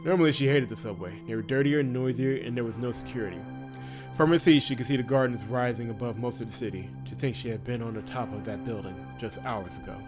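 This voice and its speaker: A man with a middle-pitched voice reads visual novel story text aloud as a let's play host. He is expressive and casual, readily breaking off to correct himself. Music plays clearly behind him. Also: fast talker.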